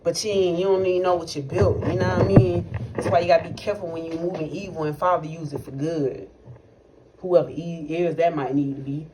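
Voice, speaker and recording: A man's voice: a little high, fairly even intonation, a little fast. A young man speaking tells a personal testimony in casual, rambling, profane talk, full of fillers and restarts. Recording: noisy recording with clicks.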